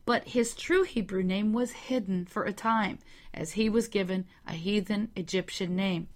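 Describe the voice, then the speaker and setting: A woman giving a slow, measured religious teaching, carefully spelling out the meanings of words. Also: medium pitch. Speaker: Bible teacher.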